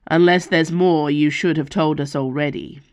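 A woman voicing a sullen deadpan bodyguard man. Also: low voice, moderate pace, no echo, close to an everyday voice. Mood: insistent. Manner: curt, deadpan and insistent.

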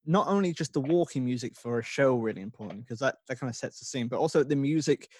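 A man's voice: mid-range voice, lively intonation, fast, no echo. Young man, podcast host, easygoing, agreeable, filler-heavy, dry close sound. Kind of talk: casual interview chat.

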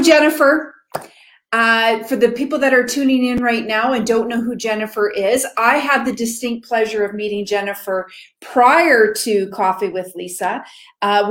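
An enthusiastic woman host chats casually with her guest, her voice sitting a little high. Her speech has a roomy echo around it, as if she is talking on a remote call. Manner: chatty and enthusiastic. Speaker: podcast host. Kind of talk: casual interview chat.

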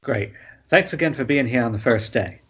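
A man's voice: medium pitch, expressive, moderate pace, fairly bright tone, slightly rough. A man playing a nervous, self-important writer directing his first film, chummy and casual. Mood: businesslike. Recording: muffled, noisy internet call.

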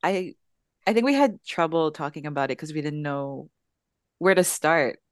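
A woman's voice: deep voice, expressive, moderate pace, clean tone, no room sound, studio quality. A woman from Montreal, a podcast guest, earnest and reflective. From Montreal, Canada. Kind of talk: casual chat.

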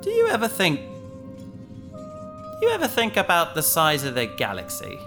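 An actress playing a wry space adventurer who is fatally wounded, weak and drowsy, speaking in halting understatement in a sci-fi audio drama. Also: female voice, lowish voice, wildly swooping pitch, music in the background. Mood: fading, wistful. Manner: faint, halting, dryly understated.

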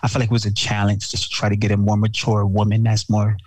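A man with a fairly low voice chatting casually and telling stories about his teen years in a slangy, animated way. He keeps repeating phrases and tossing in "bro". The sound is dry and close, with no room echo. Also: quick pace. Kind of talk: casual chat.

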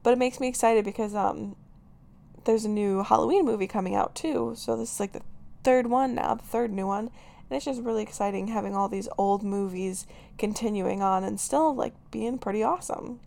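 Chatty young woman with a gravelly voice. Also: medium pitch, moderate pace, dark tone. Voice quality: raspy today. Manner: chatty, giggly, lots of "like" fillers. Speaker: podcast host. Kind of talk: casual solo podcast chat.

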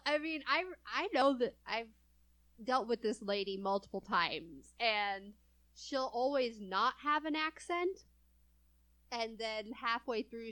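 A woman's voice: on the high side, a bit bright, low-quality recording. A young adult woman from California chatting casually as a co-host, laughing often between phrases. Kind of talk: casual chat.

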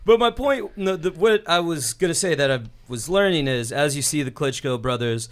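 Higher-voiced man, playful podcast co-host. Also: expressive, normal speed. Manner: leads topics, laughs often, playful teasing. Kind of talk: casual chat.

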